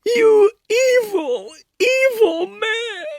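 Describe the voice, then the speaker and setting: A man's voice: very high voice, animated, very slow, vocal fry, no echo, clean studio sound, pitched above the performer's own voice, full character voice. A man voicing a grieving mother who screams and cries her accusations in fury. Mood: screaming grief. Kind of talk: audiobook character reading.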